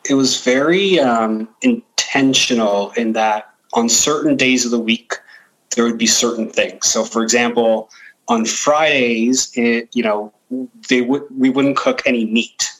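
Male interview guest from Guyana and Canada, middle register, thoughtful and conversational, with halting word searches. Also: medium pitch, fairly bright tone, poor audio. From Guyana, moved to Canada as a child. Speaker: podcast guest.

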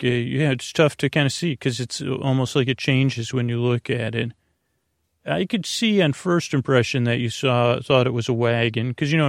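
Creaky-voiced man rambling through a bedtime monologue. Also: mid-range voice, no echo, studio quality. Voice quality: creaky, gravelly. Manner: meandering, halting, often trailing off and circling back. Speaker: podcast host. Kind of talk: rambling bedtime monologue to help listeners sleep.

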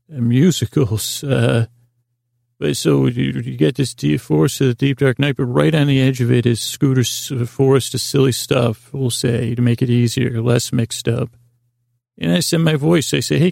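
Creaky-voiced, mellow man rambling gently. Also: mid-range voice, dry recording, studio quality. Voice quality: creaky, gravelly. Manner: meandering, halting, repeats and restarts phrases; gentle, lulling, soothing. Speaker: host of a bedtime sleep podcast. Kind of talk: rambling, improvised bedtime storytelling.

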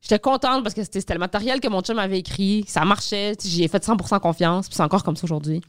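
A stand-up comedian from Montreal with a Québécois French accent, telling stories in a lively, casual podcast chat full of swearing and fillers. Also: female voice, mid-range voice, fast talker, dry recording, clean studio sound. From Montreal, Quebec.

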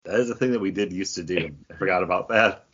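A man's voice: deep voice, fast talker, fairly bright tone, husky, dull audio. A man hosting a podcast and moderating a casual chat about comic books. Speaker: podcast host moderating discussion.

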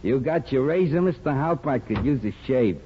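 An actor playing a smug, menacing gangster boss with tough, slangy, slyly insinuating talk in a 1950s radio drama. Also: male voice, mid-range voice, animated, a little fast, a little hiss or hum, lightly acted. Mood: brash, commanding. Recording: muffled 1950s radio broadcast.

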